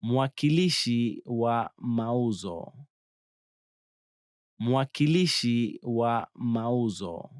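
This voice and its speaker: A man's voice: medium pitch, animated, drawn-out words, pauses often, a little mellow, no room sound. A man reads drill phrases as a model speaker for learners. He enunciates carefully and takes his time, in a mid-range voice with a dry, close sound. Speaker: native-speaker phrase demonstrator. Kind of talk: scripted language drill.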